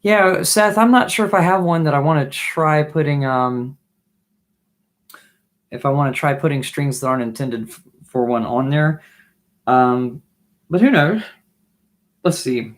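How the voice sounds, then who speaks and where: A man in his thirties, hoarse from losing his voice, chatting casually on a live stream from a small home music room, musing and restarting his sentences. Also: fairly high pitch, unhurried, pauses often. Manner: musing, trailing off with restarts while playing. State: losing his voice, throat clearing. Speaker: livestream host and bassist. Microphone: webcam mic at desk distance, wears headphones.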